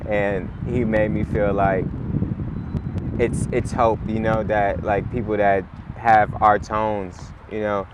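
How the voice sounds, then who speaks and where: Raspy, laid-back man, low voice. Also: flat delivery, slow pace, muffled recording, very noisy. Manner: laid-back, rambling, heavy on "like" and "you know". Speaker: musician guest. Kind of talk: casual interview chat.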